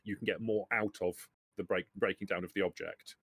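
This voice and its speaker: A man's voice: sits low, fairly even intonation, fairly quick, fairly bright tone, breathy edge, no echo. A man acting as game master, explaining the rules of a tabletop role-playing game.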